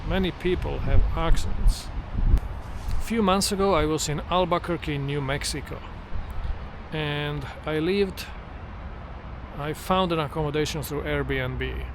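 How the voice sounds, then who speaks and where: A middle-aged man who speaks English as a second language, talking to the camera outdoors in an unhurried, reflective way with frequent restarts. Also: a little high. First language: not English. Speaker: vlogger. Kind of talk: casual walking vlog monologue. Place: outdoors near trees and traffic. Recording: outdoor traffic noise. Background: street traffic noise.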